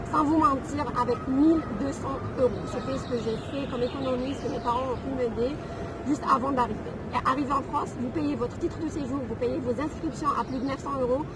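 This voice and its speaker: A woman in her thirties from Algeria, telling her story in a casual interview with frequent fillers. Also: high voice. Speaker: guest. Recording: noisy background.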